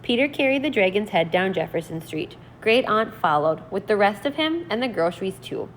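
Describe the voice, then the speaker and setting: A woman teacher reading aloud and explaining in an expressive, deliberate, instructional way. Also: medium pitch, slight background noise, low-quality recording. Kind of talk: read-aloud lesson, narrating and explaining vocabulary.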